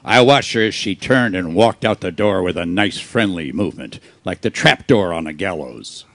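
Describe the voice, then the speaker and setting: A man playing a wisecracking, deadpan private detective in an old-time radio style play. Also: low-pitched, normal speed, creaky, no echo, full character voice. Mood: wry narration. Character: wisecracking hardboiled male private detective narrating his case.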